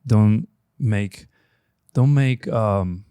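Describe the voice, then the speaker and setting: Middle aged guy w/ foreign accent hosting a podcast, lowish voice, bit halting, really dry studio sound. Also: warm tone, smooth, no room sound. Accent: non-native English. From Chile. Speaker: podcast host. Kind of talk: casual conversational interview. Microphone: close studio microphone on boom.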